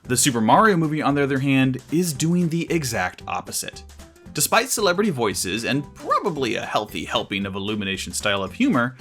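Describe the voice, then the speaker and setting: A man delivering scripted commentary as a video reviewer, in a measured, articulate way with emphatic phrasing. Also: mid-range voice, big pitch swings, a bit bright, faint music behind.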